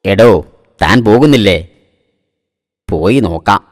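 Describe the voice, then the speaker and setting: A man's voice: lowish voice, animated, measured pace, no room sound, studio quality, in a large hall. A man voicing a boastful, easily exasperated self-styled computer expert in a comic cartoon. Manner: pompous, boastful.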